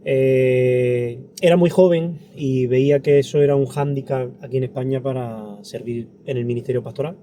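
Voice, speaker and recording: A man with a peninsular Spanish accent and a very mellow, dark voice of medium pitch. He answers interview questions earnestly and reflectively, in a conversational way. A faint ambient noise sits behind him. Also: steady tone, moderate pace, hardly any pauses. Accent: Spanish from Spain. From Andalusia, Spain. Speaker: interview guest, pastor.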